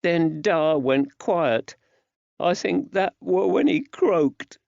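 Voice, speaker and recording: A frightened young working-class lad, played by a male narrator, speaks in a high, plaintive voice with rustic old-fashioned dialect. He sounds grief-stricken and earnest, in an audiobook story on a dry, slightly dull recording. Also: a little slow, no room sound. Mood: shaken.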